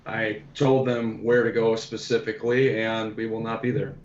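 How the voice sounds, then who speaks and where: A man's voice: lowish voice, little pitch movement, normal speed. A man talking casually as a guest on a podcast, in dull, roomy sound. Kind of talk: casual chat. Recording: dull, echoey, lo-fi room sound.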